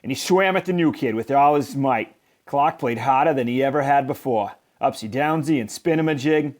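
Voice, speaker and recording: A man reading a children's picture book aloud in expressive narration, with emphatic, deliberate phrasing. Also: medium pitch. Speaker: storybook reader.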